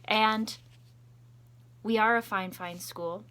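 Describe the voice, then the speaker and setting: Bright-voiced woman reading storytime. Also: mid-range voice, fairly even intonation, takes it slowly, clear, resonant tone. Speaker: school storytime reader. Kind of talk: expressive children's picture book read-aloud with chatty asides.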